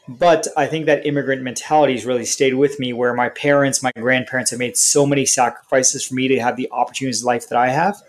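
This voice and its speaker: A man's voice: mid-range voice, fairly even intonation, fast talker, hardly any pauses. A man who grew up in India and Canada, with Hindi as his first language, giving articulate, structured answers as an interview guest. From India, raised in Canada. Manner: articulate, structured, lists points. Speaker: guest, software company CEO.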